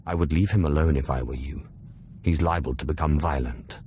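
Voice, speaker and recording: An actor playing a cool, self-assured young Sith in a video game, with a measured, dry, terse manner. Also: male voice, very deep voice, darkish tone, a little hiss or hum.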